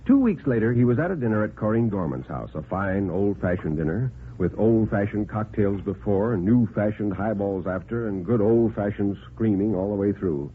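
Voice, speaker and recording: A man's voice: on the low side, expressive, normal speed, few pauses, slight background noise. An American man narrating a scripted horror story in the style of 1950s radio drama. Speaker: narrator of a radio horror play, performing every part. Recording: vintage radio recording, phone-like band, music behind.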